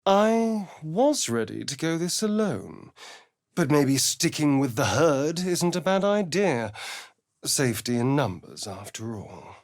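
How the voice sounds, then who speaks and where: Sly male vampire spawn, sardonic and vain, swooping pitch, unhurried drawl, dry close recording, video game character. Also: very animated, dry recording, heavily acted. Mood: arch, teasing. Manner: theatrical, drawling, arch.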